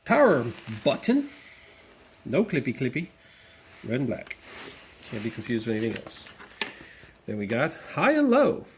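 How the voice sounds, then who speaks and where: A man's voice: medium pitch, wildly swooping pitch, a little slow, pauses often. A man with a mid-range voice hosting a hands-on repair walkthrough, chatty and thinking aloud as he works. He slips into playful repetition of words now and then. A faint background noise hangs behind him in the workshop. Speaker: DIY video host. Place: workshop or garage, cold day.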